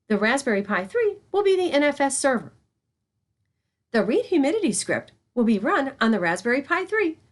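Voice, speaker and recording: A woman narrates a scripted lesson as an instructor. Her voice sits fairly high and moves up and down in a lively way. She speaks carefully, explaining step by step.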